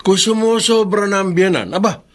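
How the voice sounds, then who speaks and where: A man's voice: high voice, normal speed, lightly acted. An actor playing a protective, indulgent middle-aged Filipino father in a radio drama, folksy and stubborn. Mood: protective, defiant. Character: protective, indulgent older father backing his daughter.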